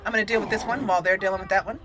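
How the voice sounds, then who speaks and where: A woman's voice: medium pitch, steady tone, rapid-fire, over music. A woman doing casual, chatty let's play commentary with some roleplay, playful in manner. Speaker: gaming video host.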